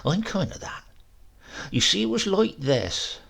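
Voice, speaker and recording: Man voicing a boastful old uncle, swooping pitch. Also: big pitch swings, unhurried, creaky, fairly bright tone, full character voice. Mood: sly, significant. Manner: boastful, sly, savouring each phrase. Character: boastful old rural English uncle telling tall tales.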